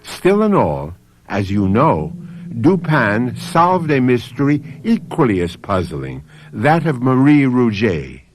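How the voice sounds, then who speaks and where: A man narrating a radio mystery as its host, with a measured, suspenseful, theatrical delivery. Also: mid-range voice, huge pitch swings.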